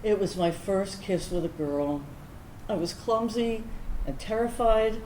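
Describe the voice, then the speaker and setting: Actress as candid woman veteran, animated, echoey room. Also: mid-range voice, close to an everyday voice. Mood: tender, amused. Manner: confessional, conversational storytelling, wry, with deliberate pauses. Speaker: actor in a staged reading. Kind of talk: dramatic first-person monologue in a staged reading. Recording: roomy echoing stage recording, background noise.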